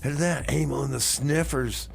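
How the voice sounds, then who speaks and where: A man hosting a music radio show, rambling casually in a folksy, halting way full of exclamations. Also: mid-range voice, steady tone, gravelly. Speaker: radio host.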